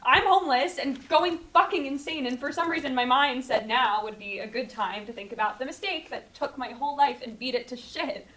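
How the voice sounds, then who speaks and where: A woman's voice: sits high, brisk, hardly any pauses, pushed and bright. A woman playing a drunk, defiant, guilt-ridden young mother in a screenplay table read. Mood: alarmed, drunken.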